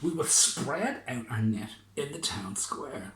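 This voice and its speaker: A man's voice: medium pitch, animated, slow pace, fairly bright tone. A man voicing an eager, plain-spoken male fisherman who explains his plan step by step, in an echoey room. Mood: earnest. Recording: echoey room, faint noise.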